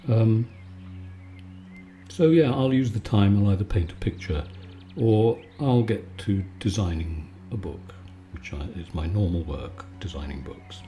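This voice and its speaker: Low, mellow man chatting calmly. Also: a little slow, a little hiss or hum. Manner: calm, soothing, conversational. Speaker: video maker and host. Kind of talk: relaxed ASMR bedtime chat.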